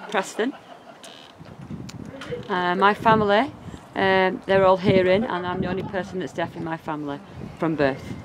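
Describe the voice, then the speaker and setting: A woman interpreting for deaf footballers, voicing their words in first person with a steady, plain delivery. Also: fairly low pitch, low-quality recording. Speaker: sign language interpreter voicing deaf players and coach. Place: outdoors at a football pitch. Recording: loud outdoor noise.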